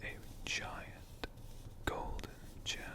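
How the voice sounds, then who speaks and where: A man playing a friendly, bookish dragon, telling stories in a hushed, whispered and soft-spoken ASMR style with long, deliberate pauses. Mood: hushed, reverent. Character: friendly male dragon shopkeeper, gentle bookish storyteller. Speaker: ASMR host.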